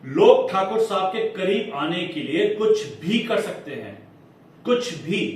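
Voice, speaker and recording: A man playing a smooth, insinuating defence lawyer in a theatrical courtroom monologue, formal and emphatic with deliberate dramatic pauses. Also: high-pitched. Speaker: acting student performing a monologue. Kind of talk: theatrical courtroom monologue in Hindi with English phrases. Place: indoor acting class room. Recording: echoey room, distant mic, faint noise.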